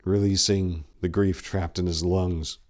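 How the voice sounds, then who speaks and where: A man narrating dark fantasy horror as a dramatic reading, with a grave, intense, theatrical delivery. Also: deep voice, flat delivery, a little slow.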